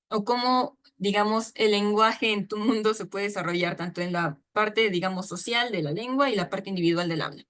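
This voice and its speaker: A woman's voice: mid-range voice, a bit bright. A woman giving an informal lecture on storytelling, chatty and full of asides and fillers. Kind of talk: informal online talk on storytelling.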